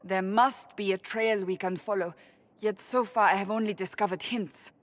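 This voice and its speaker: An actress playing a stern, earnest woman warrior of a holy order in a fantasy game, speaking in a formal, deliberate way. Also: medium pitch, steady tone, close to an everyday voice. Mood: brooding, analytical. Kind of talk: dramatic fantasy game dialogue.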